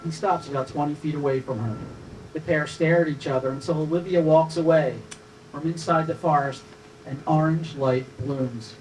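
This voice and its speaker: A man's voice: fairly high pitch, a little mellow. A man reading scripted stage directions as narrator at a live table read, recorded from a distance with some noise.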